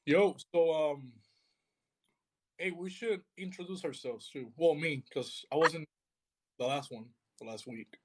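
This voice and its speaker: A young man from Puerto Rico talking casually on a live stream, laid-back and halting with lots of fillers. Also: a little high, slightly rough. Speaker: podcast co-host.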